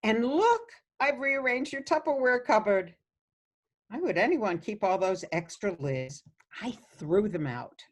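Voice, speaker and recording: Woman playing slow, sweet, meddling elderly mother. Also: medium pitch, lively intonation. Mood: breezily meddling. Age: older. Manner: coaxing, cheerfully oblivious. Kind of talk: radio play acting.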